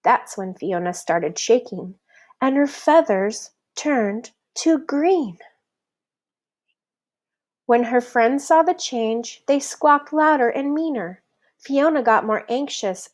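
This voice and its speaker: A woman with a slightly high voice reads a rhyming picture book for children. Her delivery is expressive and deliberate, with a very slow pace that lets each rhyme land. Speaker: storybook reader.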